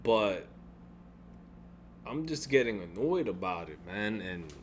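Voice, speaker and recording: A young man with a deep voice vents in a home-made gaming vlog. He rambles, repeats himself and swears, and a faint electrical hum sits under his speech. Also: big pitch swings, takes it slowly. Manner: frustrated, rambling with frequent restarts and swearing. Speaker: gaming YouTuber. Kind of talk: casual venting vlog.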